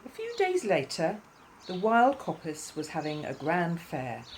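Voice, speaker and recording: A woman reading a scripted children's story in an expressive, measured way. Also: on the low side, slight background noise.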